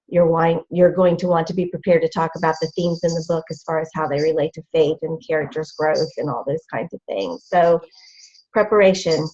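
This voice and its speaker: A woman author giving practical advice in a casual panel chat, in a measured way. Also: low-pitched, mellow, lo-fi sound. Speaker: author guest.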